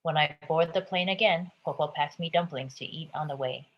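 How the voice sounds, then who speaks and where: Woman author reading her picture book softly, low voice, kinda muffled call audio. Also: fairly quick. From Taiwan. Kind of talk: reading a picture book aloud, then casual talk. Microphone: video call.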